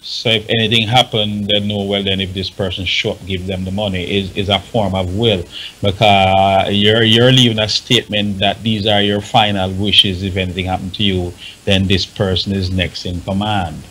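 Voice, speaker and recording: Halting Jamaican man, low forceful voice. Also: flat delivery, poor audio. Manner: halting, repetitive, emphatic. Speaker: talk show panelist. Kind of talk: informal explanatory radio discussion.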